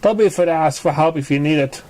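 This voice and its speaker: A man's voice: on the high side, fast talker, a little mellow, voice pushed up, lightly acted, rough recording. A man voicing a helpful, encouraging young female pony who makes potions, in a story reading.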